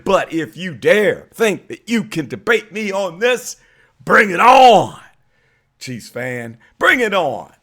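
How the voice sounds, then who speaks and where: A hoarse man hosting a sports vlog, arguing his point with emphatic, call-out energy. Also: on the high side, fairly bright tone. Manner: emphatic, preachy, calls people out. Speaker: channel host. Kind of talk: fired-up sports opinion vlog.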